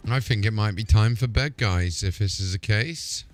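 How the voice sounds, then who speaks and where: A man's voice: fairly low pitch, normal speed, soft background music. A man hosting a live gaming stream, talking casually as he plays and troubleshoots.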